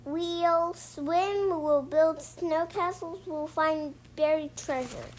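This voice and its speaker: A child reading a picture book aloud as a storytime host, halting and repeating words, on a homemade recording. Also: sits high. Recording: clearly noisy home recording.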